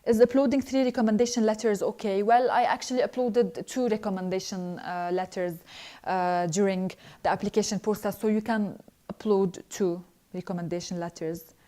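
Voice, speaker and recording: Young woman, alumna hosting a live Q&A. Mellow, relaxed voice, earnest, halting with fillers. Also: mid-range voice. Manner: earnest, hesitant, frequent filler pauses. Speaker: scholarship alumna answering viewer questions. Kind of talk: live online Q&A, reading questions then answering.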